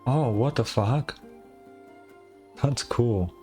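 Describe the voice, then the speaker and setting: A man's voice: medium pitch, extremely slow, mellow, soft background music. A man giving casual gameplay commentary, muttering half-finished reactions as he plays. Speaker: gameplay streamer.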